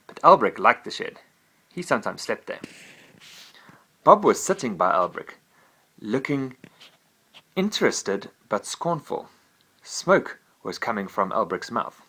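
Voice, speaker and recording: Father narrating a bedtime story, low, very expressive. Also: slightly rough. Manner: gentle, animated reading.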